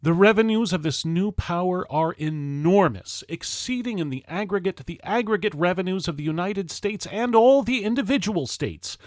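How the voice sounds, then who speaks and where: A man with a fairly high voice delivers a historical address in a very dry acoustic. He reads it as formal oratory, solemn and declamatory. His phrases are measured and set apart by deliberate pauses. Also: no echo. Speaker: reader of a historical speech. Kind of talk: formal oratory read aloud from a script.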